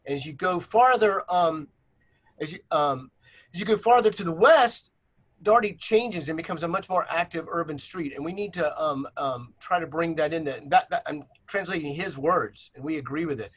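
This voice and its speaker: A man's voice: fairly high pitch, moderate pace, fairly bright tone, poor audio. A man leading a virtual planning workshop on a video call, presenting slides with many fillers and restarts.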